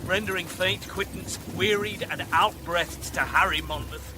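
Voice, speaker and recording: An actor playing a loyal, shaken battlefield messenger in a Shakespearean radio drama, speaking formal verse earnestly. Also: male voice, high voice, a little slow. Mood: sorrowful, urgent. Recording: noisy ambience behind the voice.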